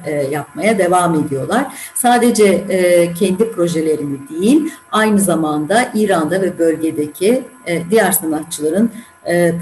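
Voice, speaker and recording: A woman with a Turkish accent hosting a festival interview, speaking haltingly with many fillers and formal addresses. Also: fairly low pitch, darkish tone. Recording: echoey room with faint music.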